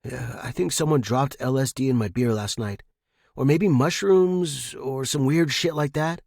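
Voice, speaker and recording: A man's voice: mid-range voice, measured pace, creaky, a little mellow, breathy edge, dry recording, studio quality, voice pushed up. A man voicing a hungover, worried young man in audio fiction, slangy, hesitant and reluctant. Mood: uneasy. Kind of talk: audio fiction character acting.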